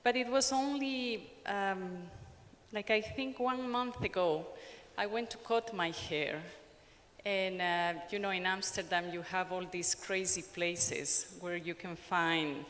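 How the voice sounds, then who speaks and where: A woman with an Ecuadorian accent speaks slowly and deliberately at a conference. She pauses often and restarts her sentences. Her voice carries a long reverb from a large lecture hall. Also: medium pitch, a bit bright, slight background noise. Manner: halting, with frequent pauses and restarts. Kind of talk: academic conference talk.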